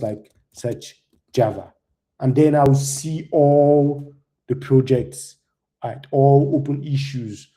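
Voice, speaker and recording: Young nigerian guy giving a demo talk, mellow warm voice, loose and chatty, says "right?" all the time. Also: mid-range voice, low-quality recording. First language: a Nigerian language. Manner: loose and conversational, tags "right?" often. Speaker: conference speaker.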